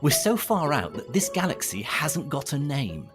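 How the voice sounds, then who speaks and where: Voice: mid-range voice, sing-song, moderate pace, lightly acted. An actor as a friendly kingfisher over music. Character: friendly flying kingfisher companion. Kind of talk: audio play acting. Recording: music behind the voice.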